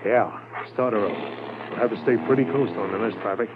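An actor playing a brisk, shrewd police corporal in a businesslike, confident 1950s radio drama style. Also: male voice, lowish voice, animated, close to an everyday voice. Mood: watchful. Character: brisk, shrewd male Mountie corporal on an investigation. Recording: noisy, narrow-band 1950s radio transcription.

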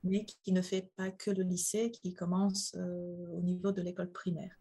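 Husky-voiced middle-aged woman, hesitant. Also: on the low side, level tone, moderate pace, warm tone. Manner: thoughtful, hesitant, many fillers. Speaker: interviewee, mother. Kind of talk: casual interview.

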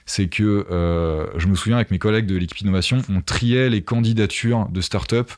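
Low-voiced man explaining casually. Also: fairly quick. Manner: conversational, explains with asides to the listener. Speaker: podcaster being interviewed. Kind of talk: casual, explanatory interview talk.

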